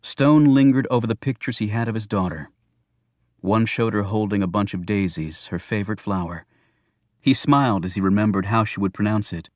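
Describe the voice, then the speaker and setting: Low-voiced male audiobook narrator, dry sound. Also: moderate pace, a little mellow, no room sound. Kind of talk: scripted narration of a thriller novel.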